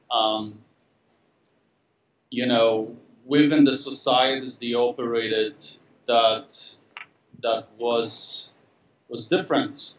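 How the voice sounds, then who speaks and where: Slow, hesitant man on a roomy call. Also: medium pitch, slight background noise. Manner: slow, hesitant, many fillers and restarts. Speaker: interviewed artist. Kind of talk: thoughtful interview answers. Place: studio room, indoors. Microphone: laptop webcam on a video call. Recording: roomy, distant, dull video call audio.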